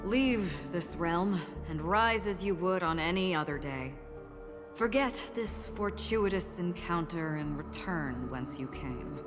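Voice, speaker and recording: An actress playing a calm, enigmatic woman swordfighter in a game, speaking in a measured, formal, cryptic way with wistfulness. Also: on the low side. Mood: wistful, formal. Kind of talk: video game story acting. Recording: long reverb, clear music behind. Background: music.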